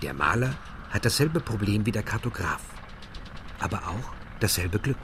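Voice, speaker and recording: An actor playing an earnest male interpreter translating a lecture in a radio play, measured and explanatory. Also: fairly low pitch, sing-song, noisy background. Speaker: interpreter voice-over.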